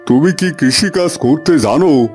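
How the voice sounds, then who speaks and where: Man playing a mellow plain-spoken village man. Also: a little high, expressive, a little slow, faint music behind. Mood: businesslike. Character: village bosses and field worker, plain-spoken men. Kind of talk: Bengali cartoon fairy tale acting.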